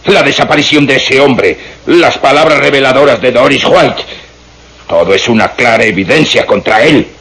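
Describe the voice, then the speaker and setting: An actor playing a grave, intense doctor in a melodramatic radio serial, speaking formally and emphatically. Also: male voice, medium pitch, normal speed, fairly bright tone, natural voice. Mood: insistent. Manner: dramatic, emphatic, formal diction. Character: grave, intense male doctor voicing ominous suspicions. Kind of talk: melodramatic Spanish-language radio serial acting.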